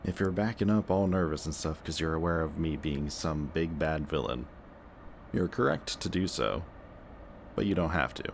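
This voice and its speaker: A man's voice: a bass voice, slight background noise, exaggerated voice. A young man playing a cocky, sarcastic antihero villain with a secretly kind streak, talking in casual, teasing banter in a roleplay audio drama. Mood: amused, teasing. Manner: casual, sardonic, teasing banter with muttered asides.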